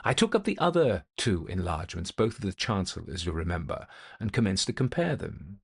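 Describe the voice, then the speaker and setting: A man narrating a ghost story as a methodical gentleman investigator, speaking in a formal, deliberate, old-fashioned way. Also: low voice, sing-song, gravelly, husky, full character voice. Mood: measured, intent. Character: male gentleman investigator recounting an eerie experience, methodical, intent.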